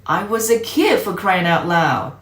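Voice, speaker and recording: A woman's voice: on the low side, animated, a little slow, a bit bright. A woman voicing an earnest, doubtful young boy who questions his uncle in a plaintive, puzzled way in a storybook read-aloud. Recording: roomy echo, faint music behind.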